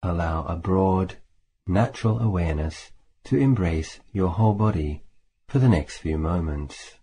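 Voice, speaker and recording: A man leading a guided meditation as a teacher, speaking in a calm, measured way with long pauses between phrases. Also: low-pitched, husky. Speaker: Buddhist monk, meditation teacher. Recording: faint background hiss.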